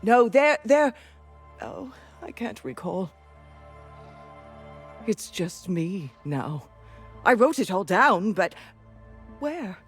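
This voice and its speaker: An actress playing a confused, frightened woman constable in a video game, halting, rambling and repeating herself. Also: medium pitch, sing-song, soft background music. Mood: confused, agitated. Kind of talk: dramatic video game character acting.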